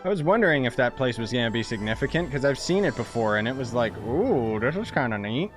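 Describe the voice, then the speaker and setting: A young man casually commenting on a game during a live stream, thinking aloud in a relaxed, chatty way. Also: mid-range voice, fast, over music.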